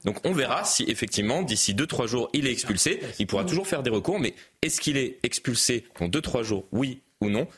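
A man's voice: mid-range voice, expressive, fairly quick, few pauses, crowd noise. A man, a journalist on a TV panel, explaining a point step by step with frequent hesitations. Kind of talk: explanatory TV debate.

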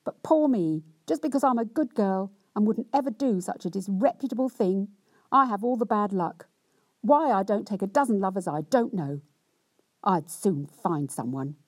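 A woman voicing a cunning, theatrical young wife who berates her husband in a loud, indignant, sweary tirade. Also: a little high, very animated, moderate pace, slightly rough, no echo, voice pushed up. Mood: scolding.

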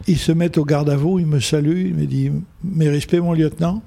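An older man, a photographer telling stories slowly and repeating phrases for emphasis. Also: fairly high pitch, steady tone, creaky, dark tone. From Algeria. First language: Arabic. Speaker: photographer guest. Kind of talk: reflective storytelling in conversation.

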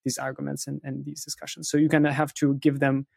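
A man's voice: a little high, completely flat delivery, quick pace, creaky, a little mellow, husky, no room sound. Man explaining his process, dry close sound. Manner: explains step by step, with frequent "right?" and "you know" check-ins. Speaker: interview guest, content writer who interviews experts. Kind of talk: explanatory interview conversation.

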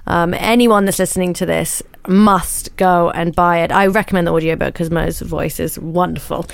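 Female podcast host, lower pitch, effusive, dry studio sound. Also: fairly even intonation, normal speed, creaky, no echo. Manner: warm, effusive, laughs easily. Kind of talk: podcast interview. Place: recording studio.

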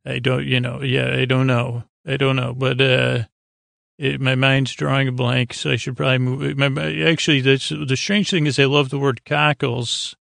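A man with a gravelly, creaky voice rambling as host of a sleep podcast, halting and stumbling over words as the thought wanders. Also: medium pitch, little pitch movement, fairly bright tone, dry recording, clean studio sound.

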